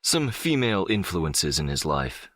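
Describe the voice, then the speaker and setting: A man's voice: low voice, sing-song, unhurried, fairly bright tone, no room sound, heavily acted. A man playing a powerful, middle-aged crime boss in an audiobook drama, controlled, condescending and smugly self-assured. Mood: cool, polite. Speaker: audiobook voice actor.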